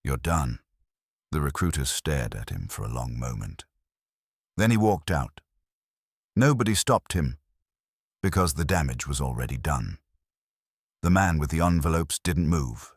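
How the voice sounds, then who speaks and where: A man's voice: rumbling low, sing-song, unhurried, long pauses, slightly rough, no room sound, studio quality. A man narrating a scripted true-crime story in a dramatic, clipped storyteller style.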